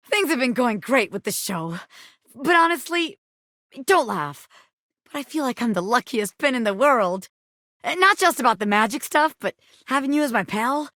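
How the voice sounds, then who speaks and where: A scrappy little ex-gangster turned showgirl for a video game. The voice is high and forceful, swinging widely in pitch with cocky, streetwise swagger. It is recorded very dry and close. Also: dry recording. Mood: warm, sincere. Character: brash, scrappy bobby pin, ex-gangster turned flirty magician showgirl. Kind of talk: animated video game character acting.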